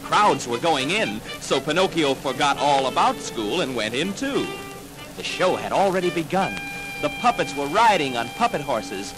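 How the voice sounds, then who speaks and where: A man tells a children's story in a dramatic, clearly enunciated 1940s style. His pitch is lively and swooping, and music plays behind him on an old 78 rpm record. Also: normal speed, rough recording. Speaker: narrator.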